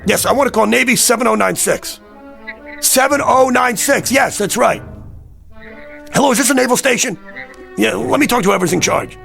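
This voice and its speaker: A man's voice: very high-pitched, normal speed, vocal fry, pauses often, pushed and bright, faint music behind, heavily acted. An actor playing an agitated, desperate American man in a radio drama, pleading insistently in streetwise talk. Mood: frantic, desperate. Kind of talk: vintage radio drama acting.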